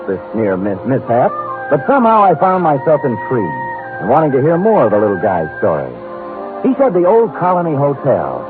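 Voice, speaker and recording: An actor playing a wry, unflappable private detective in deadpan, sardonic old-time radio drama style. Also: male voice, medium pitch, close to an everyday voice. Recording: muffled vintage radio recording with music.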